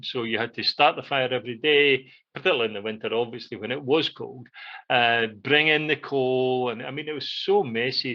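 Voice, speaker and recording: An older man with a Scottish accent casually reminiscing and telling stories in an animated way, repeating phrases for emphasis. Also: medium pitch. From Scotland. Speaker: podcast co-host. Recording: dull, muffled lo-fi audio.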